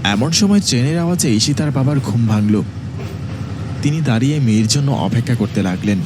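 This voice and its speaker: A man's voice: mid-range voice, animated, a little fast, noisy background. A man narrating a scripted horror story as its storyteller.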